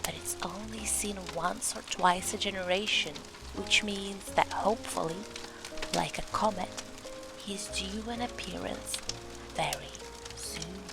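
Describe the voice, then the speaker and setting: An earnest young woman in an audio drama, a believer in the supernatural. She speaks at a middle pitch with dramatic, storytelling emphasis, and music plays clearly behind her. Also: measured pace. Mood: earnest, mysterious.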